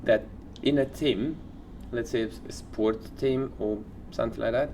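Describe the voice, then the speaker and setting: A man co-hosting a casual podcast, speaking in loose, slightly halting phrases. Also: medium pitch, fairly even intonation, darkish tone, a little hiss or hum. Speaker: podcast co-host.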